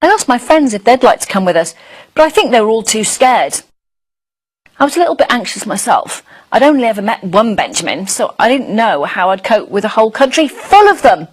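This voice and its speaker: A woman voicing a curious, friendly young schoolchild dragon who narrates a storybook with wide-eyed, expressive emphasis. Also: a little high, a little fast, husky. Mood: tender.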